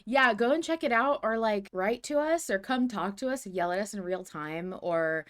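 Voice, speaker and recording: A woman's voice: medium pitch, lively intonation, moderate pace. A woman co-hosting a live fan podcast, chatting casually with lots of fillers and restarts, and sometimes reading notes aloud. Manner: chatty, self-deprecating, many fillers and restarts. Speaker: podcast co-host. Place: home setup on a live stream.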